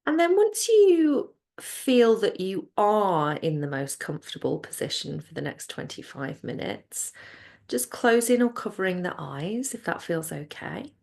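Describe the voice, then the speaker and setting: A woman leading a guided relaxation as a yoga nidra teacher, speaking in a soothing, unhurried and gentle way. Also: medium pitch, sing-song, gravelly.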